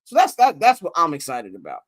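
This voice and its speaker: A man with a notably high voice talks through a sports recap in loose, slangy chat. He gets animated and slips into quick impressions of what others told him. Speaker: podcast co-host.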